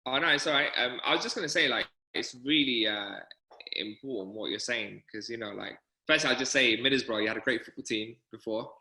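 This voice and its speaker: Man, video call participant, hesitant, polite, very bright voice, roomy echo. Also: medium pitch, a little fast. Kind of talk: casual chat on a video call.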